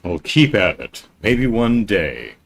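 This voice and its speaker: Male podcast host, very low voice, casual, meandering. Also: big pitch swings, slow pace, gravelly. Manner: rambling, many ums and restarts. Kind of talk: casual live-stream interview.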